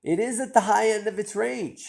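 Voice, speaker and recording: Host, male, nasal and congested with a cold, mellow husky tone, hesitant self-mocking musing. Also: high voice, normal speed. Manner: self-deprecating, hesitant, rambling. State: stuffy nose from a cold. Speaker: stock-picking channel host. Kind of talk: casual thinking-aloud commentary.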